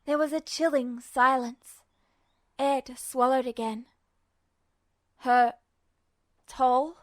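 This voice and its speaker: High-pitched woman narrating dramatic fiction. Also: fairly even intonation, a little slow, dry recording. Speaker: podfic narrator. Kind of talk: expressive scripted fiction narration.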